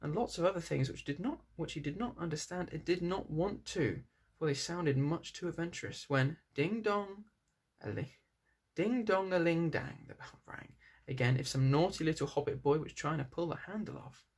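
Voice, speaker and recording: Creaky-voiced male storyteller. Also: fairly high pitch, normal speed. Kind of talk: story narration read aloud.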